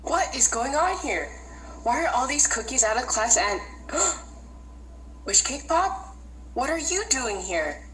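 A woman playing a concerned, fair school principal cookie in a children's radio play, expressive and emphatic. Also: high-pitched, slow, soft background music, full character voice, rough recording. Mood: startled, stern.

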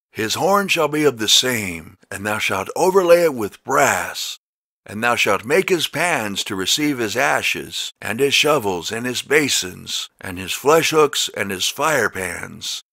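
A man narrates scripture in a bright, forceful voice with lively, swinging intonation. He reads deliberately and emphatically from a script. The sound is close and dry. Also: medium pitch, no room sound. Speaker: Bible narrator. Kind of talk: slow scripted scripture reading.

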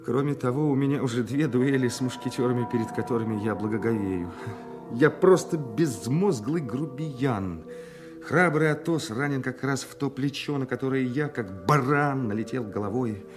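An actor playing an impetuous, self-mocking young provincial swordsman in a radio play, excitable and theatrical. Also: medium pitch, moderate pace, over music, natural voice. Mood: rueful, musing. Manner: excitable, theatrical, muttering to himself.

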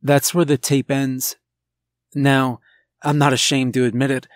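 A man narrating a scripted horror story in a deliberate, dramatic way with pauses. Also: medium pitch, little pitch movement, dry recording. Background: background music.

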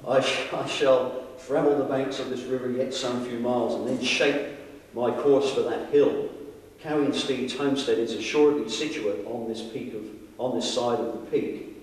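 A man reading the part of a headstrong, pompous colonial gentleman barrister in florid, old-fashioned dramatic style. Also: mid-range voice, fairly even intonation, normal speed, close to an everyday voice. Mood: dismissive, stubborn. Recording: very echoey hall, far from mic.

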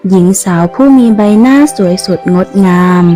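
A woman's voice: mid-range voice, normal speed, over music. A woman reading a scripted ghost story as its narrator.

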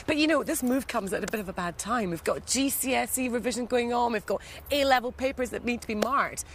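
A woman's voice: a little high, a little fast, a little hiss or hum. A woman in her thirties presenting a home-moving show, chatty and teasing with the family, recorded on a lapel mic in and around a house. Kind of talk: lifestyle TV presenting.

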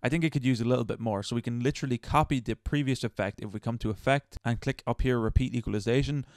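A man presents a casual step-by-step tutorial in a chatty, informal way. His voice is clean and smooth, and he moves along quickly. The sound is dry and close. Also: medium pitch, dry recording. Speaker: tutorial host.

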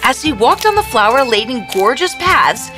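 A woman narrating a scripted fairy tale as a storyteller for an animated production. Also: on the high side, moderate pace. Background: prominent background music.

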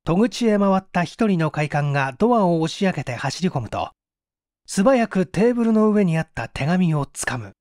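A man's voice: sits high, big pitch swings, moderate pace, a little mellow, no echo, clean studio sound. A man reading mystery fiction aloud as a dramatic scripted narration. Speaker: audiobook reader.

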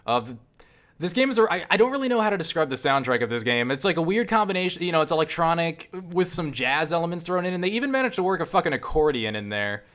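A man giving casual, profane gameplay commentary, enthusiastic but halting, often restarting his phrases. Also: a little high, big pitch swings, a bit bright. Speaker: gamer and game reviewer. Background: game music and sound.

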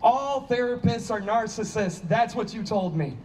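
A man's voice: high-pitched, lively intonation, normal speed, darkish tone. A man acting an agitated, anguished character fighting a drinking problem in a staged play reading, with emphatic, repetitive delivery. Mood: needling. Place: small outdoor stage. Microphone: handheld microphone. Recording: distant mic outdoors, background noise.

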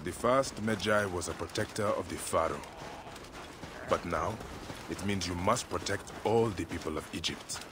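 An actor playing a steady, proud Egyptian warrior father in a dramatic game cutscene. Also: sits low, normal speed, noisy background, natural voice. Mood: fatherly, reassuring. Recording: game music behind the voice.

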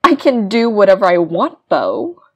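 A woman's voice: medium pitch, big pitch swings, normal speed, darkish tone, breathy edge. A woman acting a scheming, vindictive young villainess in an audio drama, smug and commanding. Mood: commanding, menacing. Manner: commanding, coolly menacing.

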